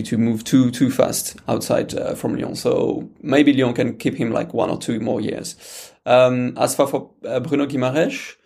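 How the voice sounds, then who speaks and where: A man's voice: mid-range voice, gravelly, darkish tone. A man with a French accent, a guest pundit talking football on a podcast, hesitant with many fillers and restarts. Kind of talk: casual podcast chat.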